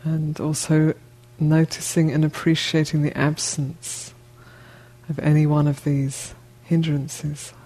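A woman meditation teacher with a soft-edged, mellow voice and very little rise or fall. She speaks slowly and gently, leaving long pauses between short phrases as she guides the listener. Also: low voice, flat delivery, low-quality recording.

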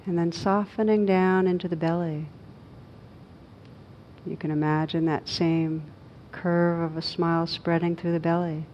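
A woman teaching a guided meditation, speaking gently in short, unhurried phrases with pauses between them. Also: fairly low pitch, flat delivery, highs cut off, a little hiss or hum.

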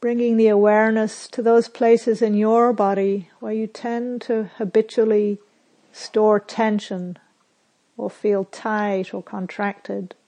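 A woman meditation teacher leading a guided meditation in a soothing, measured way, with pauses and phrases that end softly. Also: medium pitch, little pitch movement.

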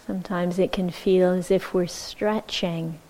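A woman teaching meditation, giving gentle, measured instructions with long pauses between short phrases. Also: lowish voice, fairly even intonation, rough recording.

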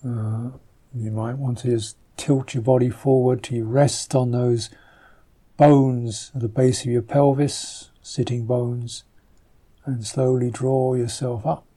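Very mellow, slow-speaking man guiding meditation. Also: medium pitch, little pitch movement. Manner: gentle, unhurried, halting phrases with long pauses. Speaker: meditation teacher. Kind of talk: guided meditation instruction.

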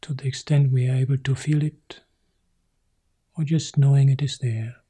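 A male monk guiding a meditation in soft, short phrases that often sink into a whisper. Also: mid-range voice, level tone, moderate pace, breathy edge. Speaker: Buddhist monk leading meditation. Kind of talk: guided meditation.

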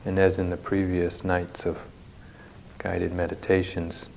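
A man leading a guided meditation as a teacher, speaking in measured short phrases with long pauses, recorded live at a retreat. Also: low-pitched, completely flat delivery, gravelly, a little mellow, a little hiss or hum, rough recording.

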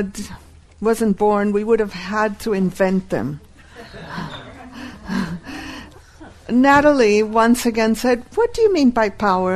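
A woman whose first language is Hebrew, teaching a live workshop and deliberately repeating key phrases. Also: a little high.

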